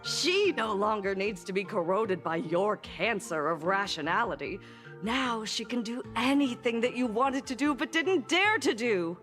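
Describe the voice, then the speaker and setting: A woman's voice: on the high side, animated, a little slow, fairly bright tone, soft background music. A woman voicing a smug quack doctor in a video game, theatrical and taunting as she makes pronouncements. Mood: pointed taunting.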